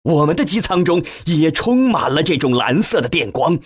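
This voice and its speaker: A man reading a novel as a scripted narration, with measured, expressive storytelling and a husky voice. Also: a little high, dry recording. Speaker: audiobook narrator.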